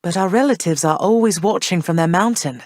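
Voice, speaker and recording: A gracious underworld queen and loving mother, played by a woman, speaking in a lowish, calm voice with warm reassurance. Her lines are polished game dialogue recorded dry and close, with no room sound. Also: brisk, no echo, lightly acted. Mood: persuasive. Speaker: game character.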